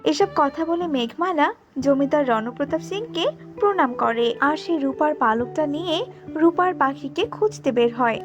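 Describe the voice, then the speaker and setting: A woman's voice: sits high, lo-fi sound. A woman narrating a scripted children's fairy tale as storyteller.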